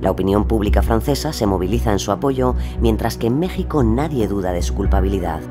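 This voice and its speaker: A man narrating a scripted documentary in a measured, expository way. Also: lowish voice.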